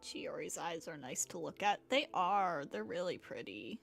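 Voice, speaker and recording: Girl streamer reacting to a game, slow easy pace, really expressive pitch, game music behind. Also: vocal fry. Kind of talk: casual livestream commentary and reactions. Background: video game music.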